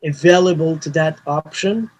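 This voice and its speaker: A man's voice: a little high, moderate pace, darkish tone, poor audio. A man, a Hebrew speaker, talking casually as an interview guest, rambling with restarts and frequent "you know" fillers. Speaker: mastering engineer guest.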